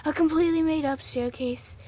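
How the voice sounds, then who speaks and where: A woman guiding a hypnosis induction, slow and soothing, repeating suggestions over and over. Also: sits high, mellow.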